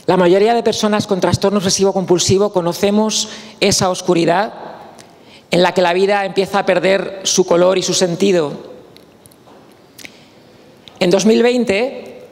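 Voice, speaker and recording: A man from Spain gives a personal talk at a conference in a fairly high voice, slow and reflective, pausing and restarting as he thinks. His words ring out with a long reverb in the hall. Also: steady tone. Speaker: guest speaker.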